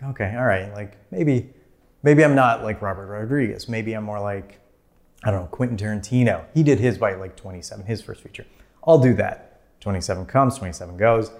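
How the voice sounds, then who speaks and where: Thirties male filmmaker guest, lower voice, loose chatty delivery full of fillers. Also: fairly quick, a little mellow. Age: 30s. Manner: rambling, many "like" fillers, laughs easily. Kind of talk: casual interview storytelling.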